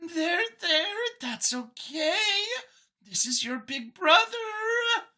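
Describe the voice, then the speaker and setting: Squeaky, high-pitched nervous mouse scientist. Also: female voice, expressive, drawn-out words, bright, forceful tone, full character voice. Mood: soothing. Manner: flustered, stammering, eager. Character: nervous female alien mouse scientist, earnest and well-educated. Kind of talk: acted audiobook character.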